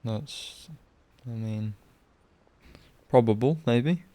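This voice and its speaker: A man's voice: mid-range voice, drawn-out words, warm tone, no echo. A man giving casual live gameplay commentary in a musing, hesitant way, with game music behind him. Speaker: game streamer.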